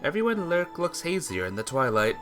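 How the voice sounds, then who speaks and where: A playthrough host reading a visual novel aloud as expressive, dramatic scripted narration. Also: mid-range voice, fairly quick, faint music behind. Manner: dramatic reading, acts out dialogue.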